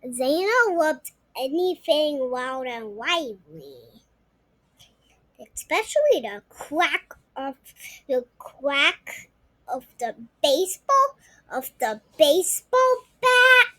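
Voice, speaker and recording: A young girl reading a storybook aloud, haltingly and often repeating words. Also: high voice, big pitch swings, bright, forceful tone, no echo, poor audio. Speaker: story reader.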